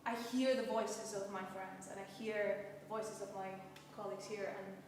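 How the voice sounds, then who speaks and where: A woman's voice: medium pitch, little pitch movement, moderate pace. This is a Scottish woman speaking on a panel, heard through a very echoey hall. She is picked up from a distance, with faint noise behind her. She talks earnestly and from the heart, often doubling words as she searches for them. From Scotland. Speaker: panelist, cast member of a stage production. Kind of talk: panel discussion.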